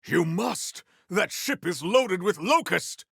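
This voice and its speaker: An actor playing a proud, formal, honor-bound warrior in a video game, with archaic, theatrical diction. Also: male voice, high voice, expressive, slow pace, creaky, a bit bright, slightly rough, dry recording, studio quality, heavily acted. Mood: exclaiming, indignant.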